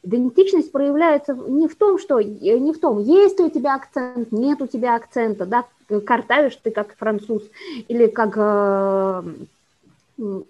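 A woman with a somewhat high voice explains things at length and in a measured way, as a guest educator talking about teaching speech sounds. The recording is dull and lo-fi. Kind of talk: explanatory conversation.

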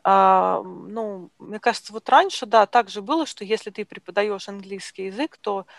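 A woman's voice: medium pitch, normal speed, creaky, no echo. A woman hosting a discussion picks her words hesitantly and fills the gaps with small sounds. Her voice sits in a middle range and is recorded close and dry. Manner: hesitant, many fillers. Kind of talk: conversational discussion.

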